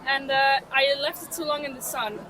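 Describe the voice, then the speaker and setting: A young woman from the Netherlands, a scout, speaking softly and haltingly. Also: high-pitched, fairly even intonation, background noise. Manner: soft, halting. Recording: distant from mic, crowd behind.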